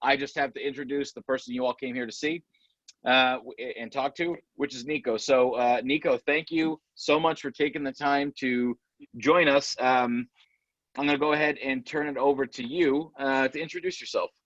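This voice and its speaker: A man's voice: mid-range voice, steady tone, moderate pace, fairly bright tone, no room sound, dull audio. A man, a professor hosting a webinar, talking in a friendly, rambling way with many fillers. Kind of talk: webinar host interview. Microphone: video call.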